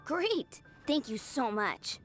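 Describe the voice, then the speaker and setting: A woman playing an eager, earnest young girl in training to be a knight in a video game, excitable yet modest. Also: high-pitched, slow pace, over music, full character voice. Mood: apologetic, eager.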